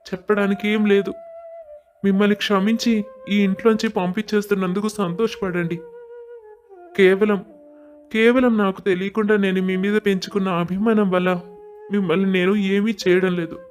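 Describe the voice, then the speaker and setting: A man's voice: high voice, fairly even intonation, normal speed, soft background music, natural voice. A man playing a wronged, emotional young husband in a scripted audio story drama. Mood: bitter anger.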